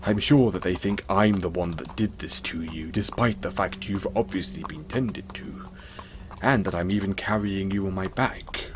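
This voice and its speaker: Gentle low-voiced male centaur, acted by a man. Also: moderate pace, background noise, lightly acted. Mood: resigned, sheepish. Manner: gentle, reassuring, hesitant. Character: gentle, kind, apologetic male centaur rescuer. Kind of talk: scripted audio roleplay, speaking to the listener.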